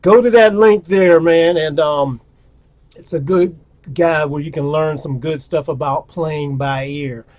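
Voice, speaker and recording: A man hosts a casual chat over a video call, laid-back and encouraging. His voice sits fairly high for a man. The sound is dull and lo-fi, like video call audio. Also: measured pace, a little mellow. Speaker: host.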